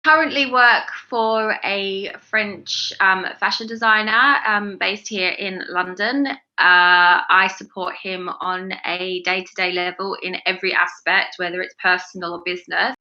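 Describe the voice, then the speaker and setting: Australian woman in her forties, bright voice. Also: mid-range voice, fairly even intonation, unhurried, lo-fi sound. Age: 40s. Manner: rambling, many fillers and restarts. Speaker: interview guest, fashion brand founder. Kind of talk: casual interview talk.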